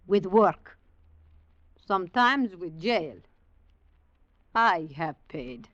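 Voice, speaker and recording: A woman's voice: fairly low pitch, lively intonation, drawn-out words, a bit bright, dry recording, muffled recording, exaggerated voice. An actress playing a fierce, weary, defiant woman of a port underworld in a 1940s radio drama, theatrical and commanding. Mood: bitter, weary. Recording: restored 1940s radio broadcast, audible noise.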